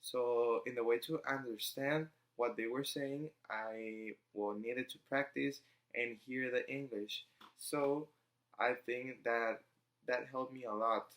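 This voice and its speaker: A young man speaks English, his tongue showing through. He talks at an unhurried, slow pace and often stops to search for words with ums. His voice sits at an ordinary middle pitch. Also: steady tone, a bit bright, poor audio. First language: Spanish. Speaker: student vlogger. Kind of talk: casual personal vlog.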